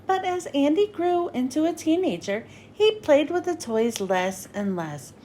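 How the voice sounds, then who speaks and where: A woman narrating a children's storybook as a read-aloud host, with expressive, clearly phrased delivery. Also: high-pitched, a little slow, a little hiss or hum.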